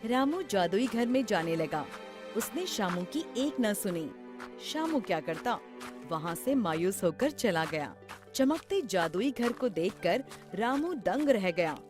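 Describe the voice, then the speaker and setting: A woman's voice: medium pitch, sing-song, slow pace. A woman narrating a scripted children's story. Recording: music behind the voice. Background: background music.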